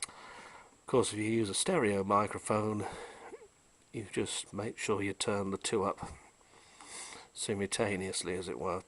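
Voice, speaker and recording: Man, lowish voice, casual repair-bench demo, technical, unhurried, frequent pauses. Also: steady tone, a bit bright, a little hiss or hum. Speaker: vintage electronics restorer. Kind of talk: casual show-and-tell demonstration.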